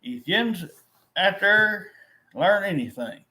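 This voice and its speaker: A man's voice: high-pitched, expressive, takes it slowly, sharp tone, poor audio. A middle-aged man venting to his viewers, frustrated and repetitive, often restarting his sentences. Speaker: vlogger. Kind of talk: rambling to-camera vent.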